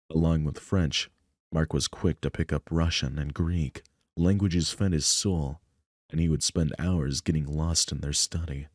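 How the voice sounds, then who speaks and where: Male narrator for story game read-through, deep voice, dry sound. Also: a little mellow, no room sound. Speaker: narrator reading a visual novel aloud. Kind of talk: scripted narration with offhand asides.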